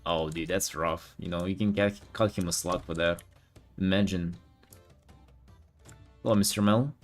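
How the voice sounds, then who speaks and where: A man who is a native Russian speaker, chatting casually as an art streamer in a halting, rambling, slangy way with some swearing. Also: sits low, fairly even intonation, faint music behind. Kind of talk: casual livestream chat while painting.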